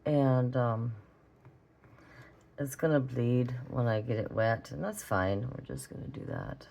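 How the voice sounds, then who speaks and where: A hoarse, raspy woman with a Minnesotan accent, chatting casually as she draws in an art tutorial vlog. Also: extremely low pitch, big pitch swings, unhurried, a little mellow, dull audio. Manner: chatty, rambling, talks while drawing. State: hoarse from a cold. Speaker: art vlogger. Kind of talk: relaxed drawing tutorial chat.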